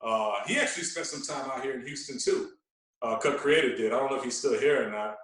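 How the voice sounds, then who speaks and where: A man telling stories in an animated, casual way, using street slang and repeating himself for emphasis. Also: mid-range voice, fast talker. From Queens, New York. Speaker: podcast guest, radio personality. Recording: very echoey room, distant from mic.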